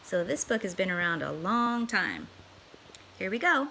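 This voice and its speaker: A woman in her forties reading a children's storybook aloud in an expressive narrating style. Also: mid-range voice, unhurried, creaky, slight background noise. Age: 40s. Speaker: storybook reader.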